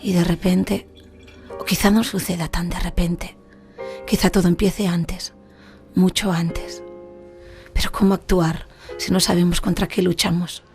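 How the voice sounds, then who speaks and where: A woman's voice: fairly low pitch, little pitch movement, a little slow, long pauses, darkish tone, soft background music. A woman narrating a documentary in a reflective, questioning way. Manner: questioning, musing.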